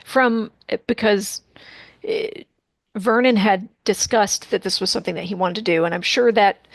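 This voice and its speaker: A woman's voice: mid-range voice, steady tone, normal speed, vocal fry, no echo. A woman interviewing a guest, asking questions in a hesitant, trailing way. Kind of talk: casual interview chat.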